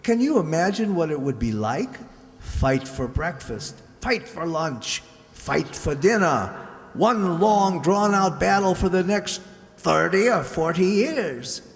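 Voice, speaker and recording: A man's voice: sits high, animated, takes it slowly, heavily acted. A man playing a wry romantic hero in an old-time radio drama, drawing out his words. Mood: teasing, fond. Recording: echoey hall stage performance.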